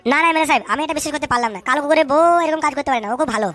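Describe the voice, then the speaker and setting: A very fast, high voice for a shifty, lovestruck cartoon dog , acted out by a performer. The talk is foul-mouthed slang, blustering and tripping over itself, with music behind it. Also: sharp tone. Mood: defensive. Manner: blustering, stammers when flustered, colloquial and foul-mouthed. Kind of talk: comic cartoon dub with street slang.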